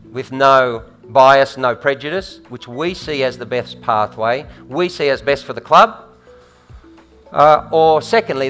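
Australian man, middle-aged, measured and emphatic, stresses his words, headset mic, faint music behind. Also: medium pitch, fairly bright tone. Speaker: panelist, former footballer. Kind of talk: prepared conference speech. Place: large conference stage.

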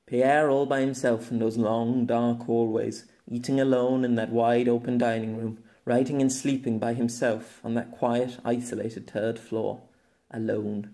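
A man reading an audiobook story in a measured storytelling manner. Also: mid-range voice, a little mellow. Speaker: audiobook narrator. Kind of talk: scripted narration.

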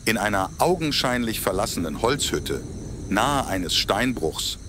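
A man's voice: on the low side, very animated, a little slow, background noise. A man reading scripted narration for an audio drama in a storytelling manner. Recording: heavy ambient sound effects under voice.

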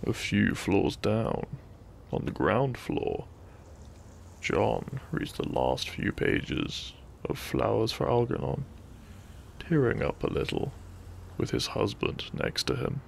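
Male sleep-story narrator: soft, soothing, very slow delivery with a supple, varied melody and hushed pauses. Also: lowish voice, big pitch swings, vocal fry, a little hiss or hum. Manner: soothing, hushed, lingering pauses between short phrases. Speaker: narrator of sleep-aid audio. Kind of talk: soft, gentle bedtime story narration, ASMR-like.

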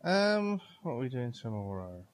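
A man's voice: medium pitch, big pitch swings, moderate pace. English man in casual podcast banter. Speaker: podcast host.

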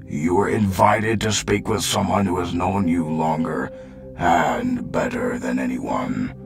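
A man voicing a deep gravelly robot leader. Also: over music, full character voice. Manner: formal, earnest, deliberate. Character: solemn idealistic robot leader, male. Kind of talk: fan comic dub.

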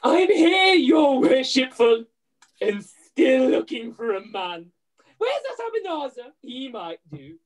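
A woman's voice: high voice, sing-song, slow pace, heavily acted. A woman playing a brash, man-hungry older widow dame in an amateur pantomime read-through on a video call. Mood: brash, flirty.

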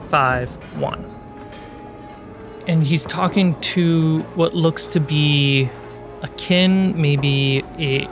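A man narrating a tabletop game as game master, telling the story deliberately and dramatically with pauses. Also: fairly high pitch. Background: background music.

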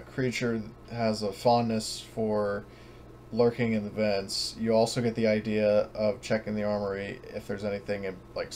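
A low-pitched man runs a tabletop game over an online call. He narrates casually and hesitantly, working things out aloud. There is a light, noticeable noise in the recording. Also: a little mellow. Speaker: game master. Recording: noisy voice-chat recording.

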